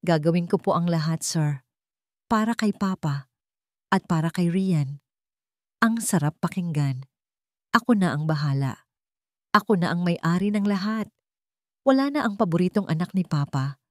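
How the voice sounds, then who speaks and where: A vain, scheming heiress with a Filipino accent, acted out by a woman narrator, speaks in a controlled, falsely sweet way with cold gloating underneath. Her pitch is fairly low and the audiobook sound is dry and intimate. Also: lively intonation, normal speed, pauses often, a little mellow, no room sound, close to an everyday voice. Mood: smug, triumphant. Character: scheming, vain, coldly jealous older sister and heiress. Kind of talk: audiobook villain monologue.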